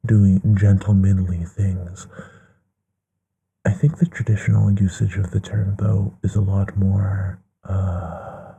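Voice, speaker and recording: Guy doing a polite bookish vampire gentleman, asmr bedtime rp, super soft dark mellow voice, really slow, breathy and half whispered, fond and musing. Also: on the low side, full character voice. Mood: drily amused. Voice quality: breathy, hushed, often slipping into whisper. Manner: musing, genteel, unhurried with pauses. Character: courtly, bookish male vampire, gently affectionate. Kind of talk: intimate ASMR bedtime roleplay.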